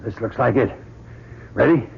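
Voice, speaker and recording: An actor playing a decisive, practical astronaut crew leader in an old-time radio drama, giving clipped orders. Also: male voice, medium pitch, normal speed, gravelly, breathy edge. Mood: determined. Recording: dull, noisy old radio recording.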